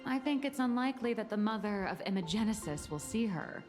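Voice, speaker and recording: A woman's voice: mid-range voice, lively intonation, fairly quick, soft background music, close to an everyday voice. An actress voicing a playful, teasing, self-deprecating young woman in an anime-style video game, chatty and theatrical. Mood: skeptical, explaining.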